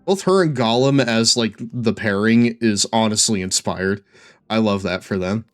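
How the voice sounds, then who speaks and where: A man's voice: fairly low pitch, measured pace, soft background music. A man giving casual live commentary as he streams a game, with an occasional stammer.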